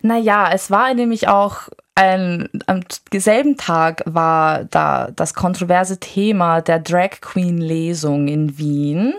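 A woman's voice: on the low side, fairly even intonation, slow pace, hardly any pauses. A woman giving a conversational report as a reporter in a radio studio.

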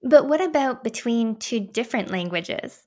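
A woman's voice: fairly high pitch, expressive, normal speed, a little mellow. A woman speaking as an interviewer in a conversational educational discussion.

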